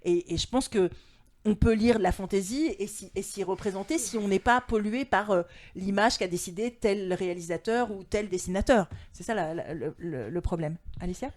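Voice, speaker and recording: A woman arguing a point in an informal panel discussion, halting and repeating words as she thinks aloud. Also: mid-range voice, a little mellow.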